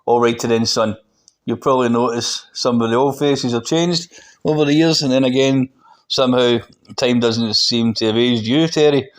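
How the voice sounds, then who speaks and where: A man's voice: medium pitch, moderate pace, natural voice. Concerned Glasgow pastor played by a male reader, matey, probing audiobook dialogue. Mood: gently teasing.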